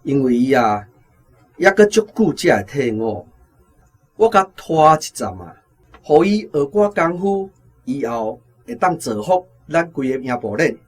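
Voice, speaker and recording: A man's voice: fairly high pitch, sing-song, measured pace, breathy edge, full character voice. A man acting in a Taiwanese radio drama, playing a brash, wily army food buyer with a Taiwanese accent and a cocky, lecturing manner. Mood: persuasive.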